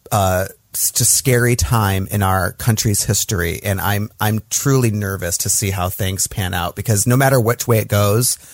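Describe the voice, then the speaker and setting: A man's voice: lowish voice, dry recording. An American man from Michigan, a podcast guest talking politics in a frank, emotional way, swearing and laughing as he goes. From Michigan, USA. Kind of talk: casual conversation.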